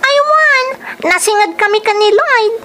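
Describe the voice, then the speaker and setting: An actress with a Filipino accent playing an animated, teasing young female office worker in a radio drama. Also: extremely high pitch, a little slow, a little hiss or hum, exaggerated voice. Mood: smitten, teasing.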